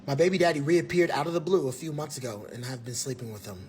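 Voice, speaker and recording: A man reading a story post aloud quickly, with dramatic asides between lines. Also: a little high, animated, darkish tone, a little hiss or hum, voice pushed up, close to an everyday voice.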